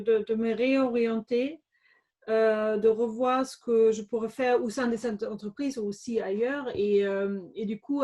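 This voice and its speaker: A woman's voice: on the high side, warm tone. A middle-aged woman from Germany with a German accent, a guest answering interview questions with many hesitations and restarts. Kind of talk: conversational interview.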